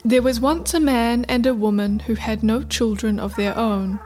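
A woman's voice: a little high, steady tone, moderate pace, darkish tone. A woman narrator reading a scripted radio play in a storybook style. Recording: faint music under narration.

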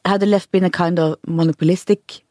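A man interviewing a guest, asking questions in a halting way as he searches for his words. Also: sits high, steady tone, darkish tone, dry recording, clean studio sound. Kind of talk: political interview.

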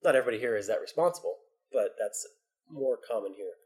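A man's voice: mid-range voice, animated, fast talker, a little mellow. Rambling man chatting in a bar. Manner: hesitant, rambling, laughs often. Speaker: bar owner interviewee. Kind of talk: casual interview chat.

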